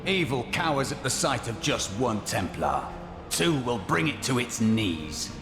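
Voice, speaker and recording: An actor playing a zealous, stalwart warrior of a holy order in a video game, speaking formally and solemnly. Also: male voice, mid-range voice, very animated, a little slow. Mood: proud, zealous. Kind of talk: dramatic video game acting. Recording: echoey, loud game music behind.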